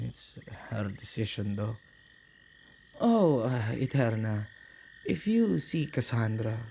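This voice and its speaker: A tender, protective male witch, acted by a man, speaks in a soft, intimate ASMR roleplay style. His voice is mellow and slightly halting, with gravelly fry. Some background ambience sits behind him. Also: medium pitch, a little hiss or hum. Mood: warm, gentle. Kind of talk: ASMR boyfriend roleplay acting.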